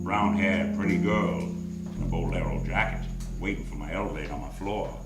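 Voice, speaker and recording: Guy acting hard-boiled 1940s radio detective, sardonic and deadpan, echoey room, music loud behind. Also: sits low, normal speed, natural voice. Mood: wry narration. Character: wry, hard-boiled male private investigator. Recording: roomy live theater stage, music underneath.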